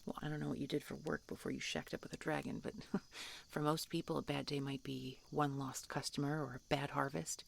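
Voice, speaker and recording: A woman plays a tsundere dragon hunter with a gravelly fry in her voice. She is prickly and guarded yet softening, speaking in grudging, halting, often hushed phrases. It is an intimate fantasy audio roleplay, with faint insect ambience behind her. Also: low voice, fairly even intonation, a little hiss or hum. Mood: earnest, softening.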